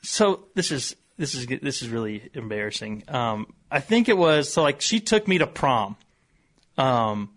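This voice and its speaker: A man's voice: medium pitch, big pitch swings, measured pace, fairly bright tone. A young American man from Missouri telling stories casually on talk radio, rambling and self-deprecating. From Missouri, United States. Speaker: sports columnist, radio guest.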